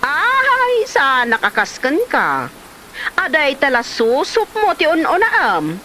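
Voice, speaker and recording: A woman's voice: high voice, huge pitch swings, slow pace, heavy background noise, heavily acted. A woman playing an aggrieved young mother and wife in a comedy drama, with a Filipino accent and a dramatic, exclamatory delivery. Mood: scolding, indignant.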